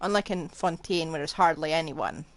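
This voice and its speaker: A woman's voice: sits low, normal speed, no room sound. A woman live streamer giving casual commentary while she plays a game. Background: game music.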